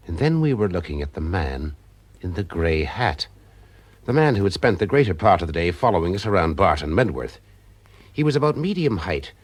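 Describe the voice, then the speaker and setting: An actor playing a wry, cool private eye in a radio detective drama, speaking in a dry, understated way. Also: male voice, low voice, no room sound. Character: wry, cool private eye telling his own story. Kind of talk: radio detective drama with first-person narration.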